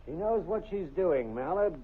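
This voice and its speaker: A droll, slangy male sidekick in a vintage radio drama. His husky voice swoops lively through wry asides. The sound is thin and tinny. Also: high voice, normal speed. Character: dry-witted male photographer sidekick. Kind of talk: 1950s radio drama acting.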